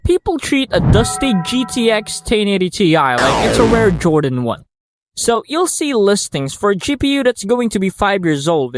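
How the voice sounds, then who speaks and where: A man with a Filipino accent, delivering a scripted commentary in a wry, blunt and sarcastic way. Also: sits high. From Philippines. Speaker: YouTuber. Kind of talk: scripted video-essay commentary.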